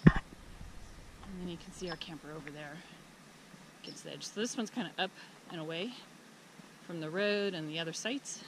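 A woman's voice: on the low side, moderate pace, pauses often, noisy background. A woman travel vlogger giving casual, chatty commentary from inside a truck on a dirt road, thinking aloud as she goes. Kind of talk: casual commentary while driving.

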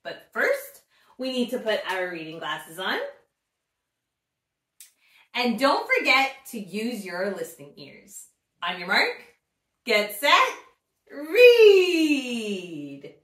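A woman hosting a children's storytime, reading aloud expressively with deliberate, emphatic phrasing. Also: a little high, big pitch swings, a bit bright, husky. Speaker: children's storytime host and reader.

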